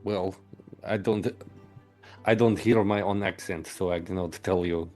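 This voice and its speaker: A man's voice: sits low, steady tone, unhurried, darkish tone, faint music behind. A man playing a tabletop character who speaks in stiff, formal, broken phrasing. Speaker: player voicing Delgrim.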